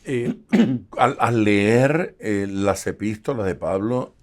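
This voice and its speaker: A man's voice: lowish voice, slow. A man hosting an interview, asking questions hesitantly with many fillers and joking about himself. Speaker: host, pastor. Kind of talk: casual interview.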